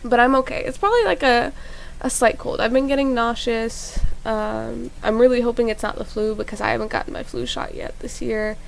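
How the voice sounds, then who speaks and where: A woman's voice: fairly high pitch, brisk, gravelly, slight background noise. A young woman with a sore, congested throat, rambling casually as she comments on a game she is playing. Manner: rambling, chatty, with fillers. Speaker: gaming YouTuber. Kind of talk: casual let's-play commentary. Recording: loud game music behind.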